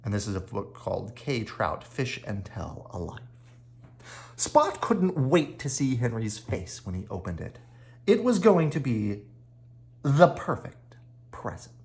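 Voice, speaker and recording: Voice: medium pitch, sing-song, a little slow, a little mellow. A storyteller reading a children's picture book aloud in a dramatic, playful manner. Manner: dramatic, with playful sound words. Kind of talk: animated read-aloud storytelling.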